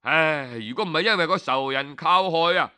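Guy doing an earnest manservant from an old chinese tale, plaintive, bright clear voice. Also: fairly high pitch, normal speed, pitched below the performer's own voice. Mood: regretful, insistent. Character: earnest, persuasive male servant in ancient China, sighing.

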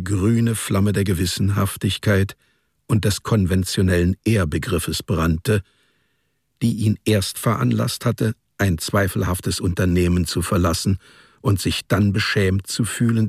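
A man narrates a radio crime drama in a low voice with a husky grain. The delivery is scripted and literary, recorded dry. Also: no echo.

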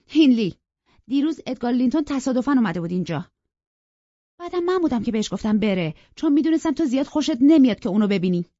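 A storybook narrator plays a willful young woman with a quick temper. The voice is pushed high and shrill, full of indignant defiance. The recording is close, dry and slightly dull. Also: big pitch swings, warm tone, no echo.

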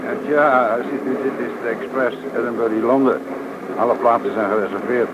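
Obliging male train conductor actor, deferential, everyday pitch, radio drama, muffled with loud noise. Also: fairly even intonation, normal speed, natural voice. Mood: obliging, discreet. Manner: deferential, smooth-talking.

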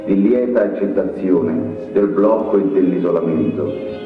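A man giving a measured, solemn, theatrical narration of a literary text, with long pauses between phrases. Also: deep voice, narrow, tinny audio. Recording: very echoey, distant, long reverb. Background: music.

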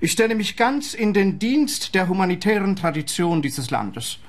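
A smug, shady lawyer with a fairly high voice that rises and falls with lively range. He talks in a pompous, self-satisfied way in a radio play. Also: normal speed.

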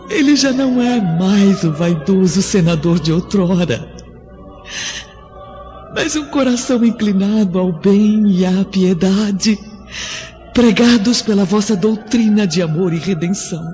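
Slow high-voiced praying spirit, solemn pleading, music behind, muffled audio. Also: male voice. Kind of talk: radio drama acting.

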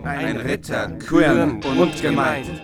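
An actor playing a medieval minstrel who declaims a heroic epic in archaic verse for a radio drama. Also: male voice, fairly high pitch, slow pace, over loud music. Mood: solemn reciting.

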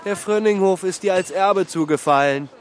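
A man's voice: high voice, moderate pace, a little hiss or hum, natural voice. An actor playing a gossiping, meddling countryman in a radio drama, speaking in a probing, insinuating and scornful way. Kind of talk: scripted German radio drama acting.

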